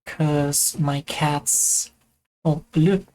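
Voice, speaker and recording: A woman's voice: low-pitched, steady tone, very slow, warm tone, a little hiss or hum. A woman from Germany with a German accent, chatting casually and rambling with self-mocking humor while she streams a game. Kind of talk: casual gaming chat.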